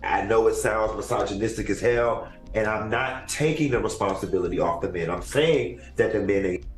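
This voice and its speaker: A man's voice: fairly low pitch, normal speed, faint music behind. A man talking casually and earnestly, giving advice to women in a video. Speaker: online commentator. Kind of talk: advice monologue. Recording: echoey room.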